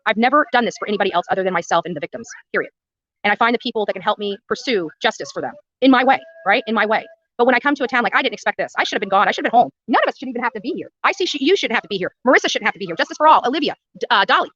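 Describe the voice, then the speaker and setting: American lady ranting on a livestream, super fast and sharp, blunt, repeats herself, dull lo-fi audio. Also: fairly high pitch, animated, slight background noise. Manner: blunt, combative, repetitive for emphasis. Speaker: livestream host and protest activist. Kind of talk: livestream rant to viewers.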